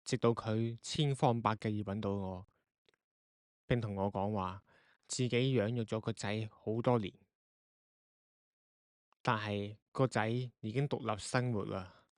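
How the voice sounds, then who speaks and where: A man's voice: fairly low pitch, fairly even intonation, a little slow, pauses often, no room sound, clean studio sound, close to an everyday voice. A man playing an earnest, guilty, evasive husband in a radio drama. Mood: confessing. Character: guilty, evasive husband confessing his secrets. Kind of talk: Cantonese radio drama acting.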